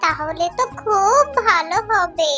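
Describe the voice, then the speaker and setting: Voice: very high voice, slow. A performer voicing a sweet little fox daughter in a children's cartoon, with childlike, pleading delivery over music. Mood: eager, delighted. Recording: faint music under the voice.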